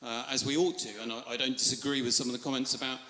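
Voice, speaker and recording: A man from Europe questioning a speaker in a live political debate, disagreeing in a polite, measured but insistent way. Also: mid-range voice, flat delivery, pushed and bright, a little hiss or hum. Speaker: audience member questioning the speaker. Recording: reverberant hall microphone.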